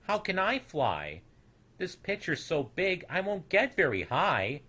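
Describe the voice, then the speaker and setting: Man putting on a high, timid little boy creature. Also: wildly swooping pitch, unhurried, bright, forceful tone, breathy edge, exaggerated voice. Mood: doubtful. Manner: plaintive, hesitant. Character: small timid self-doubting boy creature, earnest and innocent.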